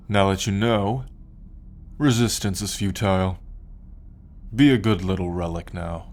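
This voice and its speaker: A man playing a smug, possessive alien captor in an ASMR roleplay, speaking in a condescending, taunting and menacing drawn-out way. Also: fairly low pitch, darkish tone. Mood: smug, gloating. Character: smug, possessive male alien abductor and collector. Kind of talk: ASMR roleplay, slow dramatic monologue.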